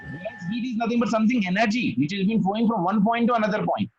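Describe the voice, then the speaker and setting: A man's voice: high voice, very fast, muffled recording, slight background noise. A man tutoring physics, patient, repeating key phrases and checking that his student follows. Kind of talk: one-on-one tutoring session.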